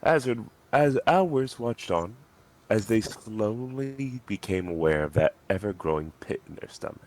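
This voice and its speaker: A man's voice: fairly low pitch, lively intonation, a little slow, darkish tone. A man narrating a story aloud as a dramatic reading.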